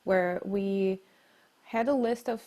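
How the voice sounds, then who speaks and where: A woman's voice: medium pitch, slow pace, warm tone. A woman from Siberia with a Russian accent, answering as an interview guest in a conversational style. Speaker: interview guest, marketing leader and coach.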